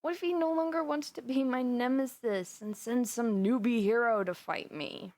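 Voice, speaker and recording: A woman's voice: a little high, moderate pace, a little mellow, dry recording, natural voice. A woman playing a flustered, lovestruck supervillainess in a roleplay audio drama, chatting intimately and dramatically to her best friend. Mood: embarrassed, indignant. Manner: chatty, dramatic, playfully indignant, with sighs and gasps. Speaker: voice actor.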